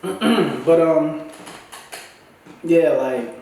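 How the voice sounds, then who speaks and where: A man's voice: a little high, extremely slow, vocal fry, a little mellow, a little hiss or hum. A congested man with a dry throat, rambling in a loose, slangy way as he talks to his vlog viewers. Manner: loose, slangy, restarts often. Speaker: vlogger. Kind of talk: casual rambling monologue. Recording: very echoey room, mic at a distance.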